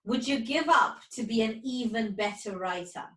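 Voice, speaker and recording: A woman's voice: fairly high pitch, moderate pace, a bit bright. A woman co-hosting an informal interview and putting questions to a guest, heard from a distance in an echoey room. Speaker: co-host.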